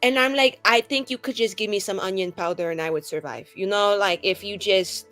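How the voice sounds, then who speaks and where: A woman's voice: medium pitch, a little fast, fairly bright tone, faint music behind. A woman playing in a tabletop role-playing game, bantering casually in character with a chatty, slangy, playful manner.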